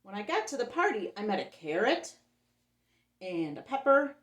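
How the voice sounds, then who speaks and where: Animated woman reading to children, echoey room. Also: medium pitch, moderate pace. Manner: explains simply to children. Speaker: children's story-time host. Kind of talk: expressive picture-book read-aloud for young children.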